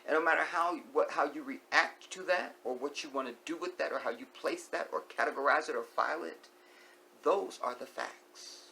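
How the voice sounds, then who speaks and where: A man vlogging an opinionated monologue. He repeats key phrases, asks the viewer rhetorical questions and sometimes sinks to a hushed whisper. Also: fairly high pitch, moderate pace, gravelly, slight background noise, rough recording.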